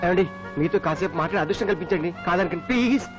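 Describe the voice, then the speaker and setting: A man's voice: sits high, fast talker, over music, lightly acted. A man playing a brash, stubborn young bachelor who talks insistently in a film comedy. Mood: eager, pleading. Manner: insistent, repeats himself. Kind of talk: Telugu film comedy acting. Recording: dull film soundtrack.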